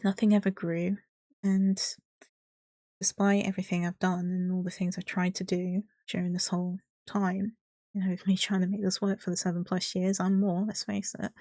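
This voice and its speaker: A woman's voice: medium pitch, flat delivery, fairly quick, mellow, no room sound, clean studio sound. A woman giving an unscripted personal update to her followers in a candid, rambling, hesitant way. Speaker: streamer and video creator.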